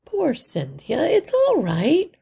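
A caring mother mouse from a children's storybook, voiced by a woman reader. She is gentle but firm, with a raised, lilting pitch that rises into soothing concern and mild scolding. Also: huge pitch swings, slow, vocal fry, full character voice. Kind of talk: children's storybook read-aloud.

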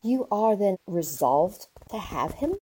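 A woman playing a haughty older aristocratic lady in a radio play, speaking formally and commandingly in a husky voice. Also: medium pitch, very animated, takes it slowly, dark tone, exaggerated voice. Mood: indignant, imperious. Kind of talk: radio theater acting.

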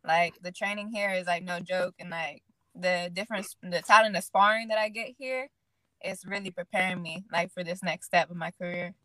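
A woman's voice: lowish voice, normal speed, no room sound, rough recording. Young woman, Illinois-raised boxer. Casual, easygoing interview chat, dry close mic. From Illinois, USA. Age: young adult. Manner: relaxed, fills speech with "like". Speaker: guest, pro boxer.

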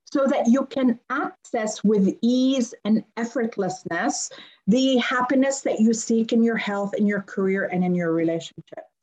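A woman's voice: a little high, unhurried, darkish tone, dull audio. A woman in her fifties with a Lebanese accent, a guest on a podcast, explaining her work earnestly and repeating phrases for emphasis. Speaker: guest, conflict resolution coach. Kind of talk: podcast interview.

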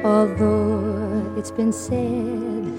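A woman singing a slow, gentle-paced Christmas ballad. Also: medium pitch, steady tone. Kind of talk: slow sung Christmas ballad with musical accompaniment. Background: music.

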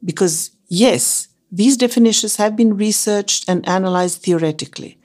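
A woman's voice: medium pitch, normal speed, darkish tone. A non-binary co-host with a woman's voice and a Greek accent, reading a scripted educational dialogue in an explanatory, emphatic way. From Greece. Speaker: podcast co-host.

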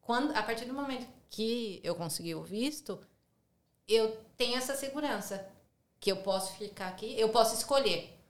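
Podcast guest: São Paulo woman, accent, casual and talkative, tag questions, lightly higher pitch, echoey room sound. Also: normal speed. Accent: Brazilian Portuguese, São Paulo. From São Paulo, Brazil. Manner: chatty, frequent tag questions. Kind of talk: casual conversational interview. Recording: roomy echo.

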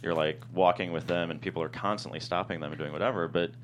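A man with a very deep voice speaks as a guest in a casual interview. He explains in a halting, searching way, stacking up fillers. A faint noisy hum sits under the voice. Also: fairly even intonation. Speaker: screenwriter guest being interviewed.

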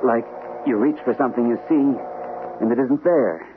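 A man's voice: medium pitch, normal speed, close to an everyday voice. An actor playing an earnest, unsteady shell-shocked army captain in an old-time radio drama, hesitant and repeating his words nervously. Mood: reassuring, uneasy. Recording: tinny, noisy old radio broadcast recording.

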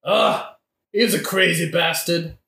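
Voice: medium pitch, slow, gravelly. An actor in a satirical audio play playing a blunt, drunk, rambling old-hand gravedigger with a flippant, matter-of-fact manner. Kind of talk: satirical modern-language audio play acting. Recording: echoey room with faint noise.

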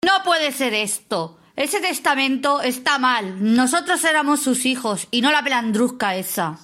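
A woman's voice: high-pitched, expressive, a little slow, fairly bright tone. A woman from Spain playing an indignant, bickering daughter of a rich family in a radio soap, aggrieved and catty. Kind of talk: amateur radio soap acting.

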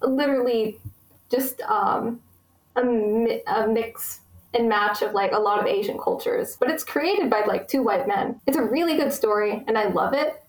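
A young American woman chats with enthusiasm, her voice sitting a little high. Her speech is full of fillers and quick asides. The sound rings with room echo. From United States. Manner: chatty, many fillers, enthusiastic. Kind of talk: casual conversation.

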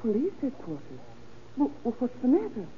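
An actress playing a faded, anxious little housewife in an old radio crime drama, hesitant, flustered and often stammering. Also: female voice, fairly high pitch, huge pitch swings, moderate pace. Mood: wary, nervous. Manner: hesitant, stammering, repeats words. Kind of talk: 1930s-40s radio crime drama acting. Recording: old tinny radio recording with noise.

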